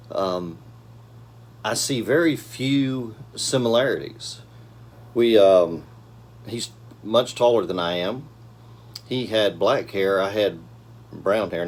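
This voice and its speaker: A man in his fifties giving a casual daily devotional talk from a small office, with gentle pacing and frequent ums and uhs, recorded by a camera at desk distance. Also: on the low side. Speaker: church devotional speaker.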